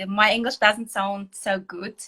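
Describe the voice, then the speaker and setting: A woman from Kazakhstan with a Kazakhstani accent, answering conversationally as an English learner in hesitant, halting speech. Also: mid-range voice, flat delivery, pushed and bright.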